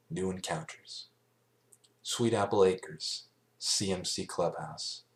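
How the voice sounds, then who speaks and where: Amateur male story reader, low-pitched, halting delivery with the odd stumble. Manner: halting reading with stumbles over words. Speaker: amateur fan fiction reader. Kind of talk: scripted story narration, read aloud.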